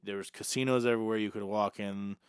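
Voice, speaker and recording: A young man with a lowish, clean, resonant voice co-hosting a casual podcast. He jokes, echoes his own lines, and gives shout-outs. The recording is close and dry. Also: steady tone, fast talker, clear, resonant tone. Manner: joking, repeats himself, shouts out friends. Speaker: podcast co-host. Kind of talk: casual chat.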